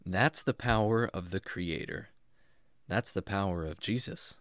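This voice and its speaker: A man's voice: low voice, unhurried, no echo, clean studio sound. A man playing a kindly, enthusiastic jungle man who teaches patiently and expressively in a children's radio drama. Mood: earnest. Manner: expressive, reassuring, explanatory.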